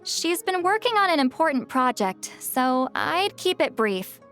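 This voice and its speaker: A proud, guarded ex-commander speaks in a high, lively voice. She is wry and easily flustered, and faint game music plays under her lines. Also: measured pace, heavily acted. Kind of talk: video game voice acting.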